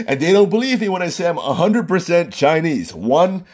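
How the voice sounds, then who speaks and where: A man's voice: high voice, sing-song, normal speed, a little mellow, breathy edge. A man from Hawaii giving a loose, conversational opinion monologue, often repeating and restarting his phrases. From Hawaii, USA.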